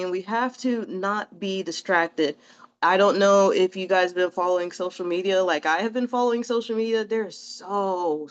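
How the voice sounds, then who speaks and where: A woman's voice: lowish voice, smooth, lo-fi sound. A woman doing a casual, rambling tarot reading in a chatty, reassuring way, a little sniffly from allergies. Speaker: tarot reader.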